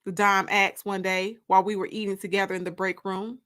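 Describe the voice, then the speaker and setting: A woman narrating a first-person story aloud in an expressive, confiding, emphatic way. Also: mid-range voice, fairly quick.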